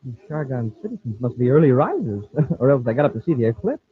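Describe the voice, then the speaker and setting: Eager, animated young space cadet. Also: male voice, medium pitch, fast talker, slightly rough. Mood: curious, surprised. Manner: excitable, deferential, puzzled. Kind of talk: 1950s radio adventure serial acting. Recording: tinny, band-limited old radio recording.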